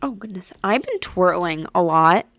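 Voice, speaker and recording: A woman's voice: lowish voice, expressive, moderate pace, fairly bright tone, no room sound, clean studio sound. Bubbly, rambling young woman. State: stuffy nose. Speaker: podcast host. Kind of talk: casual solo chat. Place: at home late at night.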